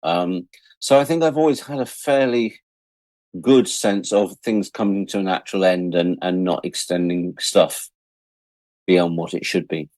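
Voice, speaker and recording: A man's voice: low voice, unhurried, a little mellow, no echo. A middle-aged English man chatting reflectively as an interview guest, wry and self-deprecating. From England. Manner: wry, self-deprecating, acts out imagined quotes. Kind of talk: reflective casual chat.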